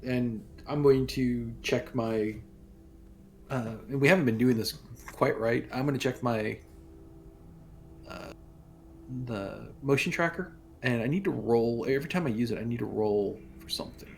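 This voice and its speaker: Game player, male, medium register. Casual, halting, improvised delivery, slight background noise. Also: mid-range voice, gravelly. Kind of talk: casual improvised play chat. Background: faint noise.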